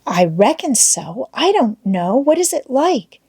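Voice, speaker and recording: A woman's voice: high voice, sing-song, unhurried, warm tone, husky, voice pushed up, exaggerated voice. A woman voicing a shy, sweet, hesitant little schoolgirl in an audiobook, timid and childlike. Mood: curious. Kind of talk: audiobook character voice.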